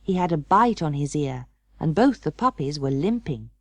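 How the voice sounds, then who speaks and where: A woman narrating a children's story in an expressive, measured and dramatic storytelling manner. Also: sits low, dry recording. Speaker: audiobook narrator.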